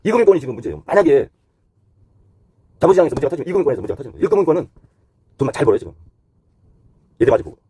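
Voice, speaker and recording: A man's voice: a little high, sing-song, racing, pauses often, warm tone, breathy edge, no echo. A man giving unscripted explanatory commentary as a host, talking conversationally point by point and sliding between polite and blunt, casual phrasing.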